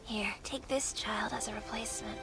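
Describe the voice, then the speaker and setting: A woman voicing an eerily calm, deceptive demon child with polite, placid words in an English anime dub. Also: medium pitch, normal speed, natural voice. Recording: loud music behind the voice.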